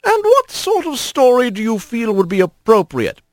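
A man voicing a gentle, proper old grandmother opossum in a storybook reading, prim and measured. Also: extremely high pitch, wildly swooping pitch, no echo, clean studio sound, voice pushed up, heavily acted. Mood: fond.

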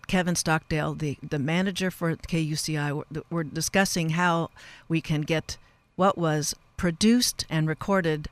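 An American man, middle-aged or older, hosting a live radio interview in a halting, rambling way and addressing listeners in a folksy manner. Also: a little high, clean tone, no room sound. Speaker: radio show host. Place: radio station studio. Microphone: close studio radio microphone.

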